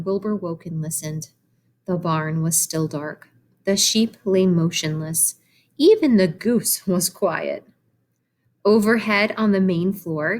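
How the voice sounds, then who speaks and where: A woman reads a children's story aloud in the manner of a teacher. Her delivery is animated and explanatory, and she pauses to talk directly to young listeners. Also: lowish voice. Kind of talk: expressive storybook read-aloud with asides to children.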